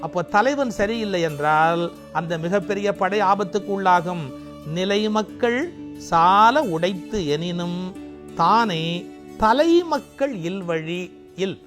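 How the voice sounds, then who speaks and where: A man giving a conversational lesson on classical verse, reciting with slow emphasis and asking rhetorical questions. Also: high voice, expressive, a bit bright. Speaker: storyteller and explainer of classical verse. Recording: music playing behind the voice.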